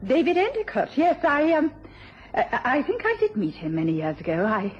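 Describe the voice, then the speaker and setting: An actress playing a gentle, anxious, devoted wife in a radio drama, speaking politely and haltingly. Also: female voice, high-pitched, huge pitch swings. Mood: flustered. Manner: polite, halting, breaking off when flustered. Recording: dull, noisy old radio recording.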